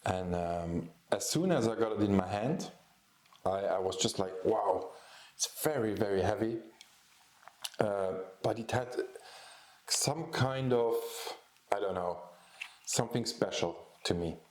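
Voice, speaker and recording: Man in his 40s, non-native English speaker from Luxembourg; low, unhurried, halting delivery; echoey room. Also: a little mellow. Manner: hesitant, many ums and restarts. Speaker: photographer and YouTube host. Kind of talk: casual vlog talk.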